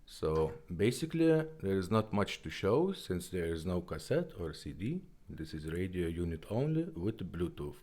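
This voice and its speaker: Male demo presenter, non-native English speaker. Deep register, animated melody, halting step-by-step delivery. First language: not English. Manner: halting, simple step-by-step explaining. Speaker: presenter of a vintage car radio demonstration. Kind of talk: casual product demonstration.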